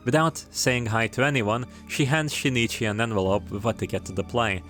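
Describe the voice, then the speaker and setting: A man's voice: medium pitch, fairly even intonation, a little fast, a bit bright, faint music behind. A man narrating a scripted plot recap of a story, with casual, informal asides. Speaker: video creator narrating a game retrospective.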